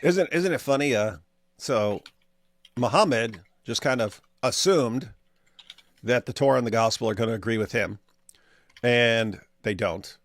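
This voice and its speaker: A man's voice: mid-range voice, unhurried, long pauses, a bit bright, no echo. A man co-hosting a casual talk show, joking and repeating his lines for effect. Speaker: co-host. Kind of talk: casual reaction chat.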